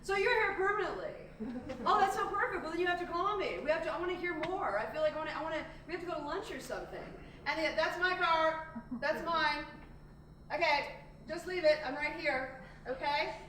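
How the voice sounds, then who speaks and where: A woman performing a brash, gushing fashion-industry woman on stage, loud and laughing. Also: very high-pitched, brisk. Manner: loud, gushy, laughing, self-absorbed. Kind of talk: live stage character comedy. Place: theater stage. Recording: echoey theater from far off, noisy.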